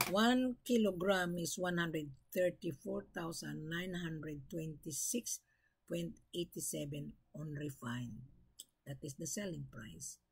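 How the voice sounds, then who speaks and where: Blunt, gravelly-voiced woman scolding buyers. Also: fairly low pitch. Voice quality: gravelly, husky voice. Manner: blunt, scolding, repeats her points. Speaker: gold broker. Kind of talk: lecturing monologue to buyers.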